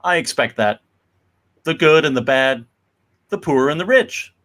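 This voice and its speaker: A man's voice: fairly high pitch, animated, a little slow, a bit bright. A man acting a genial middle-aged father and merchant in a dramatic comic reading, hearty and sociable.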